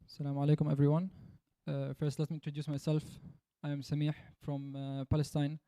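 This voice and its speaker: A man from Syria, who chants devotional songs, giving a measured and polite introduction at a live event. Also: on the high side, completely flat delivery, dark tone, no echo. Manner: measured, polite, with short pauses.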